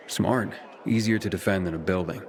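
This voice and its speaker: An actor playing a low-key newcomer recruit in a video game, hesitant and understated but curious. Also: male voice, moderate pace, noisy background.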